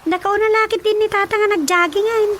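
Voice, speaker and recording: A chatty daughter with a very high voice and an Ilocano accent, lively in radio drama comedy style. There is faint noise behind the voice. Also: female voice, brisk.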